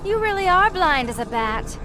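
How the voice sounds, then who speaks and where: An actress playing a sly, flirtatious cat burglar for hire in a video game cutscene, teasing, flippant and coolly self-assured. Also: female voice, very high voice, big pitch swings, moderate pace. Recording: loud music over the voice.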